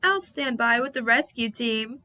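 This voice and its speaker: A woman's voice: fairly high pitch, animated, unhurried, sharp tone. A woman playing a proud, easily upset little male train engine from a storybook, grumbling and crying out. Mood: teasing.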